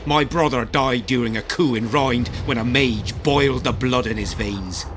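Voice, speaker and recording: An actor playing a grim, embittered, battle-hardened soldier in a video game, speaking gravely as if haunted. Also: male voice, medium pitch, normal speed, close to an everyday voice. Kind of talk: video game dialogue acting. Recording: clear ambient noise behind voice.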